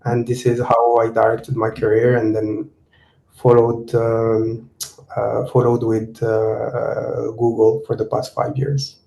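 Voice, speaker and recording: Roomy-sounding man with halting speech. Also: mid-range voice, completely flat delivery, gravelly, dark tone. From Morocco. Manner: hesitant, many fillers and restarts. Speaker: guest speaker, technical program manager. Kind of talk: seminar talk and interview answers. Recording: roomy echo.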